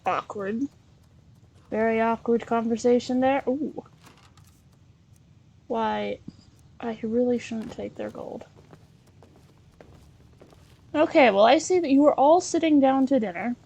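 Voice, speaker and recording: A woman's voice: fairly high pitch, slow pace, gravelly, pauses often, warm tone, slight background noise. A woman doing an improvised in-character let's play, chatty and hesitant as she thinks aloud. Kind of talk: in-character improvised gameplay roleplay and commentary.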